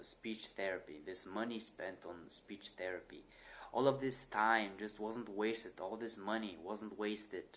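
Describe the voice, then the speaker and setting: Earnest young man with a low voice. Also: a bit bright. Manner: earnest, reflective, with occasional stutter repetitions. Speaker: channel host. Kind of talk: personal talk to camera.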